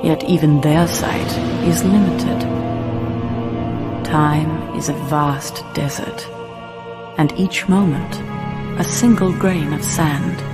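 A mystical seer narrating a game. She speaks very slowly and solemnly, with portentous weight, over clear music. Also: sits low, steady tone. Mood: solemn mystery.

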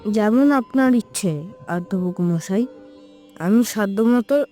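A woman's voice: mid-range voice, slow, warm tone, faint music behind, lightly acted. A woman voicing a polite, eager and studious young village boy in a cartoon, earnest and obedient. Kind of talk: Bengali moral cartoon voice acting.

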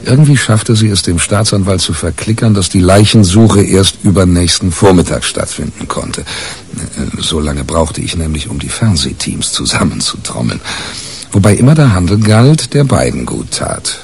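A man's voice: deep voice, expressive, normal speed, darkish tone. An actor playing a wry, cheeky newspaperman who narrates a radio crime drama in a sardonic, colloquial way. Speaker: narrator and main character.

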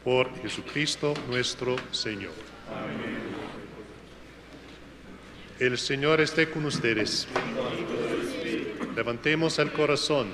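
Priest saying mass slowly, big church echo, noisy background hum. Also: male voice, mid-range voice. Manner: slow, solemn liturgical recitation. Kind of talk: reciting the Mass liturgy. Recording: echoey church with noise.

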